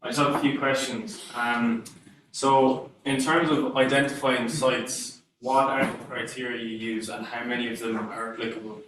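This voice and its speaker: Man asking questions on a call, monotone, very echoey room, far from the mic, faint background noise. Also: mid-range voice, moderate pace. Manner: hesitant, polite, with fillers. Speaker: investor panelist asking questions.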